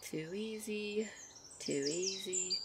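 A woman voicing a shy, gentle young nature fairy who teaches affectionately in a soft ASMR roleplay. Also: mid-range voice, slow, creaky, background noise. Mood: encouraging, playful. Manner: soft, encouraging, affectionate, giggly. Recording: birdsong and outdoor ambience behind. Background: birdsong ambience.

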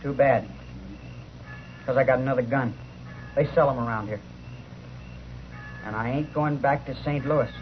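Man as taunting young outlaw, medium pitch, 1950s radio western, muffled sound with slight noise. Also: fairly even intonation, measured pace, long pauses, natural voice. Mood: quiet menace. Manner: taunting, defiant. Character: defiant, menacing young male gunman of the Old West. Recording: dull, noisy vintage radio recording.

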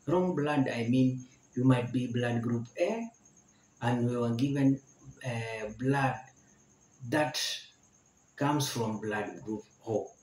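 A man in his forties teaching a health lesson to camera, slowly repeating each point to explain it. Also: medium pitch, a little mellow, a little hiss or hum. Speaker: medical educator. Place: indoor room with barred window. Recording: echoey room.

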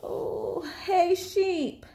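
A woman's voice: very high-pitched, fairly even intonation, very slow, mellow, voice pushed up, full character voice. A woman voicing a hungry, picky little male bird in a storybook, complaining melodramatically. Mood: disgusted, complaining.

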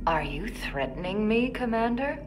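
A woman's voice: mid-range voice, big pitch swings, normal speed, over music, lightly acted. A woman playing a haughty, commanding middle-aged scientist in a video game, speaking in a clipped, formal way. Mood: stern. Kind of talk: video game cutscene acting.

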